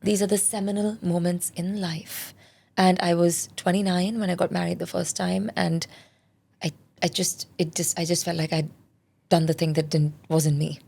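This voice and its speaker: A woman's voice: lowish voice, level tone, moderate pace, creaky, darkish tone, husky. A woman in her forties, born in India, speaking as a parenting specialist in a candid, reflective interview. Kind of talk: conversational interview.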